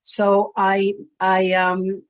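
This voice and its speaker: A woman with a raspy voice giving a gentle, earnest spiritual class over a video call. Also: mid-range voice, monotone, extremely slow. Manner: earnest and gentle, with frequent ums. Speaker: Torah educator and speaker.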